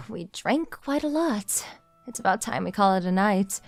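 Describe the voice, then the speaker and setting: A woman's voice: medium pitch, animated, measured pace, no echo, faint music behind. A young woman playing a confident, pushy female CEO who teases her junior in a manga dub romance. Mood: tipsy, sulky. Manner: straightforward, teasing, self-assured.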